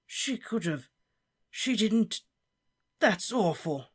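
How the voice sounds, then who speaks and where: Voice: medium pitch, animated, slightly rough, pitched above the performer's own voice. Slughorn, a tipsy old wizard man voicing. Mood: mournful. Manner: drawn-out, quavering and wheedling.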